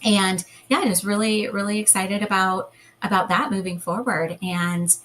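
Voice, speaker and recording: A woman with a calm, soothing voice, talking as a hypnotherapist guest. Her pitch sits in an ordinary middle range. She speaks gently and warmly in a guided, reassuring way. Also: normal speed. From United States. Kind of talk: guided hypnosis then casual interview chat.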